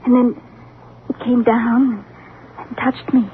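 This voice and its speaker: A woman's voice: on the high side, flat delivery, slow pace. A dreamy young woman in an old radio drama. She speaks in a guarded, wistful manner on a tinny recording with light background noise. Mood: awed, dreamy. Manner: wistful, reticent. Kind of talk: vintage radio drama acting.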